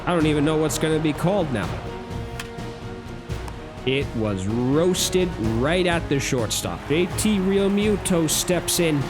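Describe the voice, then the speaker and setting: A young man, hoarse from shouting, giving excited play-by-play of a baseball video game with swearing and running commentary. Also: on the high side. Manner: animated, swears, thinks aloud between plays. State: losing his voice. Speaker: gaming YouTuber. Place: small booth at home. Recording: game music playing behind.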